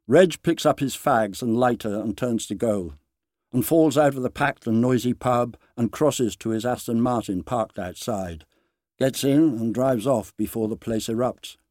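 A man narrating a short story dramatically, with deliberate phrasing and dramatic pauses. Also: medium pitch, a little mellow, dry recording, studio quality.

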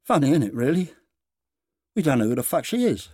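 A man's voice: fairly high pitch, sing-song, brisk, warm tone, slightly rough, no echo, studio quality. A man voicing a fat pub landlord in a short story, gushing, sweary and fiercely devoted, in an emphatic, confiding way that repeats itself. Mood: fervent, sweary. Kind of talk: dramatised short-story reading.

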